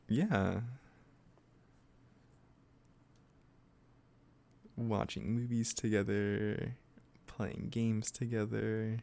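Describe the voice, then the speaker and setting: Casting: male actor as lovesick, possessive kidnapper for roleplay audio. Gravelly low voice, gentle and coaxing. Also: heavily acted. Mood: awkward, reassuring. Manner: slow, hesitant, gently coaxing. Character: obsessive lovesick kidnapper, soft-spoken and possessively sweet. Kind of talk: roleplay audio drama, addressing the listener directly.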